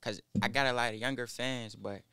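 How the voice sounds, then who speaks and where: A nineteen-year-old man, a rapper guest in a small studio, speaking haltingly into a boom mic with many fillers. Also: fairly even intonation, pushed and bright, smooth, no room sound. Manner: halting, mumbled, full of fillers. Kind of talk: casual interview. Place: small studio with foam wall. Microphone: studio mic on boom arm, headphones.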